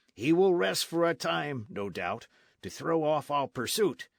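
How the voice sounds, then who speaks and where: A man voicing a gruff, boastful army sergeant who speaks loudly and heartily in an audiobook. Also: medium pitch, no room sound, studio quality, heavily acted. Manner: blustering, loud and hearty.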